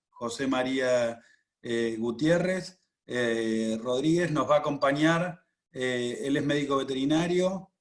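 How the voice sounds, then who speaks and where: A man moderating a webinar, introducing the speakers formally with many hesitations and repeated words. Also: medium pitch.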